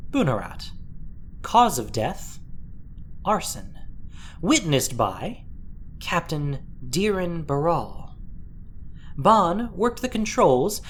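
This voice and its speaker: A woman narrating a dramatic story from a script, expressive and deliberate in her telling. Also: lowish voice, a little hiss or hum.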